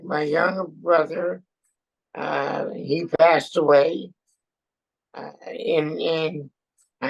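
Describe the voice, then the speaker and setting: Slow, gravelly older New man. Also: on the high side, highs cut off. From born in Austria, raised in Brooklyn and France. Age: 70s. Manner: halting, hesitant, many pauses and fillers. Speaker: interview guest, Air Force veteran. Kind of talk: casual chat.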